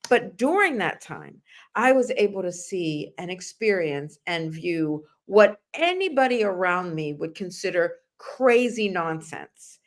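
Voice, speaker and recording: A woman's voice: on the low side, big pitch swings, measured pace. A middle-aged woman from Russia hosting a conversational talk show, speaking earnestly and emphatically, straight to her listeners. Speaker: host of a nursing and wellness talk show. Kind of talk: conversational interview, personal anecdotes.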